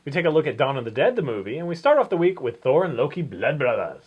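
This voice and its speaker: A man's voice: medium pitch, sing-song, fast, breathy edge. Male review co-host, slightly muffled sound. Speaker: co-host of a comics review show. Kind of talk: casual review chat. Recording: dull lo-fi sound.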